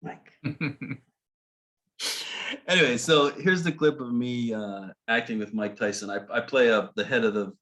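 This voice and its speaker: A middle-aged man speaking as a guest at a club meeting, telling anecdotes in a halting way with frequent restarts and chuckles. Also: mid-range voice, fairly bright tone. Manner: halting, full of restarts and laughs. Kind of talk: casual talk.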